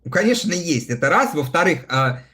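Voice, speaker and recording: A man's voice: a little high, very animated, brisk, pushed and bright. A man in his thirties chatting casually on a livestream, reading viewer comments aloud and answering them between laughs. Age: 30s. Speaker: live streamer reading viewer chat.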